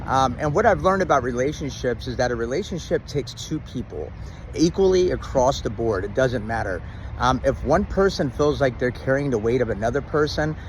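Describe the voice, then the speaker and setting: A middle-aged man giving relationship advice in a casual, rambling talk to camera, full of restarts and ums, in a loud and dominant voice. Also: mid-range voice, slight background noise, rough recording. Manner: says he has a loud, dominant voice.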